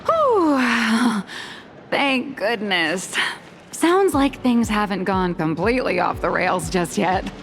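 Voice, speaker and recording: Wry, sceptical woman, mid-pitched. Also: very animated, slow pace, slight background noise. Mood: relieved. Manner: wry, pointed, articulate. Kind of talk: video game dialogue. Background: game music.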